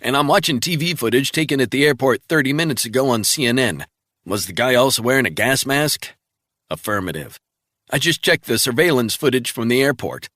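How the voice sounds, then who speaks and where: A man doing a brisk male intelligence analyst. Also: mid-range voice, expressive, a bit bright, dry recording, clean studio sound, pitched above the performer's own voice. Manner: brisk, rapid, matter-of-fact reporting.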